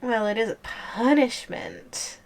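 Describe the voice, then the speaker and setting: A woman's voice: a little high, animated, slow. A woman voicing a playful, possessive giantess girlfriend in an ASMR audio roleplay, with a teasing, giggly, languid delivery.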